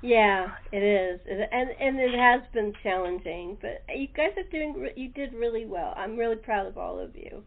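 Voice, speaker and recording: Woman podcast host chatting with guests, kinda high voice, thin phone sound. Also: normal speed, vocal fry, hardly any pauses. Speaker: host of a radio-play podcast. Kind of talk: casual group interview chat. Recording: thin phone-line audio.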